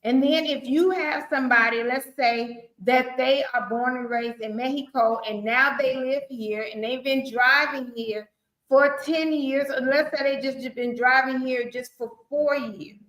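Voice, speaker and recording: A woman's voice: sits high, fairly bright tone. A woman from Chicago with a Southern-sounding accent, hosting a talk show and speaking emphatically and outspokenly. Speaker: host. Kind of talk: podcast discussion. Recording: echoey room.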